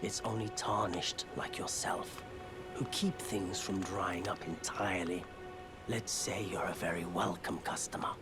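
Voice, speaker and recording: An actor playing a weary but friendly nomadic merchant in a fantasy game, measured and gently persuasive. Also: male voice, low voice, steady tone, loud music behind the voice. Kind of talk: video game character dialogue.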